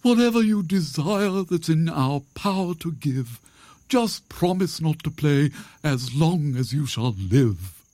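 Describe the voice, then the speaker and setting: A man's voice: fairly high pitch, expressive, slow, darkish tone, no echo, voice pushed up. A man voicing a solemn, diplomatic storybook owl with an earnest manner. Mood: earnest, persuading.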